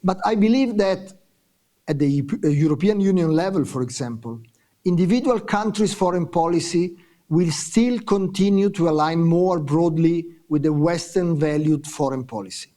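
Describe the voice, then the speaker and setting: A man with a mellow, fairly high voice delivers prepared remarks at a conference panel. His delivery is measured and formal.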